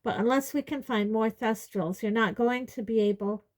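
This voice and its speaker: A woman's voice: a little high, normal speed, pitched above the performer's own voice, close to an everyday voice. A woman voicing a frustrated, commanding teenage boy hero in a storybook, curt and urgent. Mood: curt, resigned.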